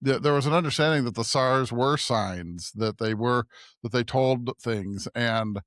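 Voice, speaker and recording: A man's voice: mid-range voice, measured pace, fairly bright tone, no room sound. Casual male co-host, dry sound. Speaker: podcast co-host. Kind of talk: casual discussion.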